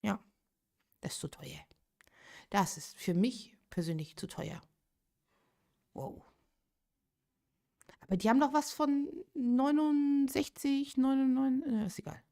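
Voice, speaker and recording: A woman in her late thirties talks casually on her live game stream, thinking out loud and answering viewers as she goes. Her voice sits in an ordinary middle pitch, close to a desktop streaming microphone. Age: 30s. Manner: chatty, thinks aloud, reacts to chat.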